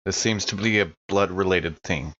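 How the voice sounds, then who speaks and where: Tabletop game role: male player as sober, practical man, low-pitched, matter-of-fact, on slightly muffled audio. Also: moderate pace, a bit bright. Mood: practical, probing.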